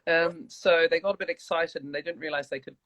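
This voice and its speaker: A woman from New Zealand with a New Zealand accent, chatting as an interview guest on a video call, warm and rambling with many restarts. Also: low-pitched, fairly even intonation, a little fast, rough recording. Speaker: guest, church leader. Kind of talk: casual interview chat.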